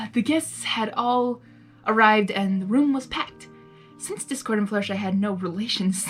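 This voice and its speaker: A woman's voice: medium pitch, a bit bright. A woman narrating a story informally as an amateur reader, dropping in spontaneous casual asides and stumbling now and then. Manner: reacts spontaneously while reading, stumbles over words. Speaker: fan fiction reader, narrator.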